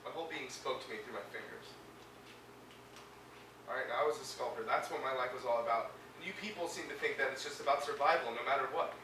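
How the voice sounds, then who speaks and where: An actor playing a bitter, sarcastic hospital patient, a paralysed sculptor, middle-aged. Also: male voice, mid-range voice, quick pace, noisy background, lightly acted. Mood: bitter, angry. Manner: sarcastic, biting. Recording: roomy film sound from a distance.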